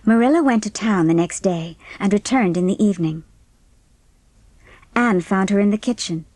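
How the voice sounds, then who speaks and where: A woman's voice: mid-range voice, moderate pace, a little mellow, dry recording. A woman reading scripted narration for a dramatised audiobook. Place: dry studio.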